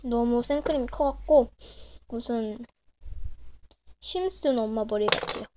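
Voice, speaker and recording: Hoarse young woman with a cold, chatty. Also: fairly high pitch, measured pace, darkish tone. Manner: chatty, frequent throat clearing and self-corrections. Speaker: miniature crafts vlogger. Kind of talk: casual show-and-tell narration. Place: small indoor room at a desk. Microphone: camera over a desk, speaker off screen.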